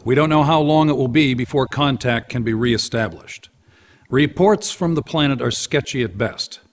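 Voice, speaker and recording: A man playing a sober wartime news anchor in a video game, reading a scripted report in a measured, formal manner. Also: mid-range voice, lightly acted.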